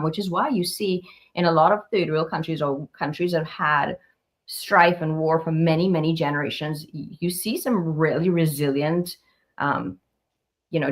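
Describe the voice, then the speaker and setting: A woman from Trinidad and Tobago with a Trinidadian accent, a counselor talking casually as a guest on a video call webinar, animated and quick to laugh. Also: sits low.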